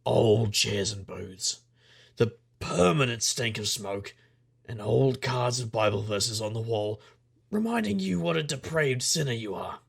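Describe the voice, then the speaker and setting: An actor playing a hard-drinking, roguish private investigator in a noir audio drama, gruff and husky, with wry, world-weary wisecracks. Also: male voice, mid-range voice, lively intonation, a little slow, full character voice. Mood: roguish enthusiasm. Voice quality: gravelly, husky.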